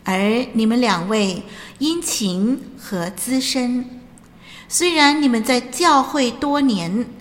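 A woman playing a solemn angel who judges souls in a radio drama, measured, authoritative and didactic, with music behind her. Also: fairly high pitch, in a large hall. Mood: stern, solemn. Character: solemn female angel judging souls at heaven's gate. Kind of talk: radio drama acting, preaching delivery. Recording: music bed under the voice.

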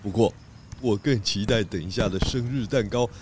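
A man's voice: fairly low pitch, normal speed, noisy background, close to an everyday voice. A man voicing a jolly male pig detective in a kids' audio drama, cheerful and greedy for food.

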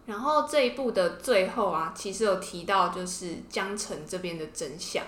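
Female podcast host, Taiwanese Mandarin accent, mid-pitched, casual explanatory storytelling, roomy echo. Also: normal speed, a bit bright. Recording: roomy, echoing podcast room.

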